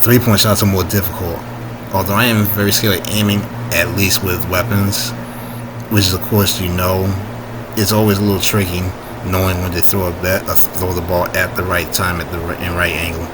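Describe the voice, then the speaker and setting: A man's voice: deep voice, level tone, fairly quick, very noisy, lightly acted. A man voicing a competitive, easily embarrassed male story hero in a casual, plainspoken way. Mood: sheepish, explaining.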